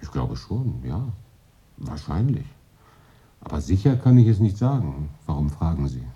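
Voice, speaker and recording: A man's voice: rumbling low, big pitch swings, measured pace, warm tone, heavily acted. An actor in his late thirties playing a cool, urbane English consul in a German radio drama, thoughtful, dry and understated. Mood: guarded. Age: late 30s.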